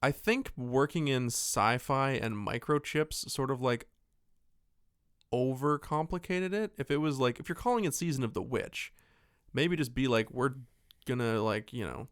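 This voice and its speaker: A man's voice: medium pitch, unhurried, clean tone, no echo, studio quality. A man co-hosting a podcast, chatting casually about films in a rambling, jokey way with some swearing. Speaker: podcast co-host. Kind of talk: casual film discussion chat.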